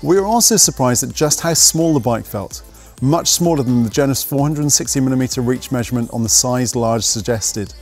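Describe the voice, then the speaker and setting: A young man narrating a scripted mountain bike review in a brisk, technical, matter-of-fact way, speaking outdoors in woodland on a lapel mic. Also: mid-range voice, a little mellow. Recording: faint music underneath.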